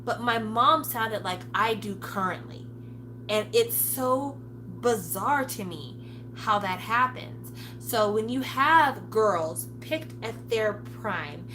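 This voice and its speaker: A woman's voice: a little high, animated, slow, noisy background. Chatty frank woman in her forties. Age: 40s. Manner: chatty, frank, swears casually. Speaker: vlogger. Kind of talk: casual chat to camera.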